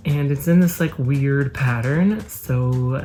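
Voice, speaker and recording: A man's voice: mid-range voice, slow pace, mellow, soft background music. A man, an opera singer, explaining his score preparation to camera in a thoughtful, explanatory way. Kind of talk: vlog talking to camera.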